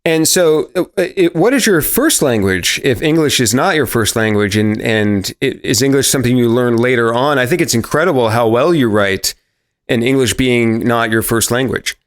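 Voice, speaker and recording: A man's voice: mid-range voice, lively intonation, moderate pace. Upbeat male podcast host. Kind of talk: podcast interview.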